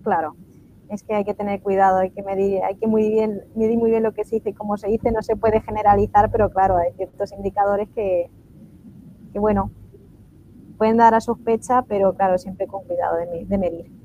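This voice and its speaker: A woman's voice: medium pitch, little pitch movement, fairly quick, mellow. Moderator, woman, muffled lo-fi line, faint hiss. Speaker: conference moderator. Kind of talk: reading audience questions. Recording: dull lo-fi sound, faint noise.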